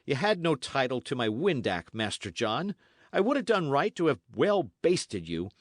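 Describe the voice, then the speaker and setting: A gruff young squire barking orders in archaic speech, as a male narrator puts him on, his voice pushed up high and lively from the reader's own. Heard in a dry, close audiobook recording. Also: normal speed, no room sound. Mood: rough, impatient. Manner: brusque, forceful old-fashioned speech.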